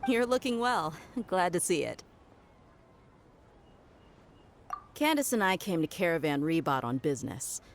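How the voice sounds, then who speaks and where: A woman's voice: fairly low pitch, animated, a little fast, a little hiss or hum. An actress playing a teasing, tough young mercenary woman in a video game, with a casual, cocky, playful delivery. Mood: friendly, curious. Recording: music under the voice.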